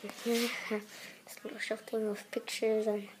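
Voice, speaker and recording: A young girl giving a rambling, halting tour of a small apartment, filmed on a handheld phone that moves from room to room. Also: on the high side, level tone. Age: child. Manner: rambling, halting, pointing things out. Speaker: vlogger giving a home tour. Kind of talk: casual home tour narration. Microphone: handheld phone camera, moving. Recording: noisy handheld phone recording.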